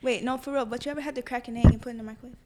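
Small-voiced, fast-talking woman. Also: fairly high pitch, steady tone, over a noisy crowd. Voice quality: small, tiny voice. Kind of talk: casual group chat, slangy.

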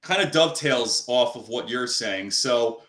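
A man's voice: mid-range voice, expressive, measured pace, a bit bright. A man hosting an interview, talking in long, rambling turns full of fillers. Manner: rambling, with many "you know" fillers. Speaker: host. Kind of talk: interview conversation. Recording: roomy sound.

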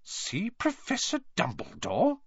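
Stern older schoolmistress for a storybook, played by a man: high, clipped, commanding, slightly roomy sound. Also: very animated, normal speed, fairly bright tone, breathy edge, dull audio. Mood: sharp, suspicious. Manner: curt, clipped, authoritative. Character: stern, brisk older woman professor at wizard school.

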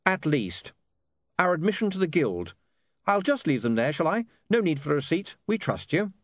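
An earnest young newspaperman acted by a man in an audiobook. He is polite yet determined, speaking in a lifted, animated voice. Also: a little high, moderate pace, no echo, studio quality, pitched above the performer's own voice. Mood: politely firm.

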